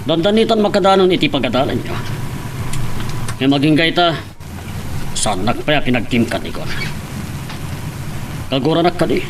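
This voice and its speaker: A man playing a stern but caring father in a radio drama, measured and admonishing, with an Ilocano accent. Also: on the high side, lively intonation, background noise, lightly acted.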